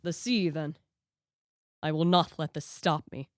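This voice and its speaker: Radio play lead: female actor as tormented male scientist, dying and vengeful, low register, solemn melodramatic delivery. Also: measured pace. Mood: weary resolve. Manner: solemn, anguished, melodramatic declaiming. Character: dying, vengeful male scientist obsessed with hunting his creation. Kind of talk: theatrical radio play acting.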